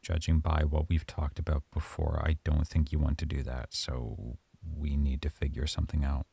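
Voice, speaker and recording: A man performing a calm, softly stern professor in an ASMR roleplay monologue, speaking close to the mic. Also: rumbling low, moderate pace, creaky, slightly rough, no room sound.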